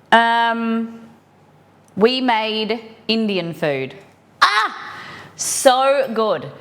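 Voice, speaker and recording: A woman hosting a cooking livestream, chatting casually and expressively to viewers with rambling asides and a slightly croaky voice. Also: mid-range voice. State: croaky, dehydrated voice. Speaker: livestream host of a cooking show.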